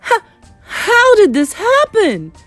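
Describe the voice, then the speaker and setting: Pompous middle-aged English dad, played by a woman narrator, indignant and spluttering, loud swooping delivery for a storybook. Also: very high-pitched, huge pitch swings, takes it slowly, dry recording, faint music behind, pitched above the performer's own voice, heavily acted. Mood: stammering shock. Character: blustering outraged English father, pompous middle-aged man.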